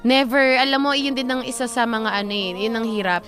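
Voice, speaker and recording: Emphatic Filipino woman with a higher voice. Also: brisk, a bit bright. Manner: emphatic, opinionated. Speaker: co-host of a story and advice show. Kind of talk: casual opinionated discussion, mixing in English. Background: background music.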